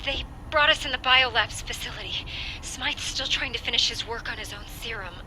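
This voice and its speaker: A woman's voice: on the high side, a little fast, sharp tone, a little hiss or hum, low-quality recording. An actress playing a brave but anxious young woman trapped in quarantine in a video game, speaking earnestly and urgently. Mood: frightened, urgent. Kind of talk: video game cutscene acting.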